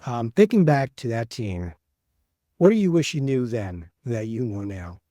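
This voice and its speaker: A man hosts the interview, asking questions in a curious, conversational way. His voice is mellow and warm, and it sounds close and dry in the recording. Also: medium pitch, big pitch swings, unhurried, no room sound. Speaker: podcast host.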